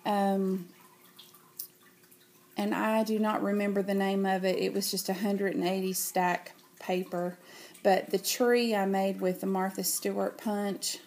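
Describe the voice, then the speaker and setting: A woman's voice: medium pitch, level tone, measured pace, darkish tone. A woman with a cold and a nasal voice, chatting casually as she shows handmade cards at home, hesitating often with ums. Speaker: craft vlogger. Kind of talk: casual show-and-tell. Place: home, at a table.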